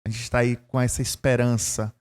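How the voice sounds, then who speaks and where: A man hosting an interview for a literary festival, asking warm and encouraging questions. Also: mid-range voice, flat delivery, normal speed. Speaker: interview host.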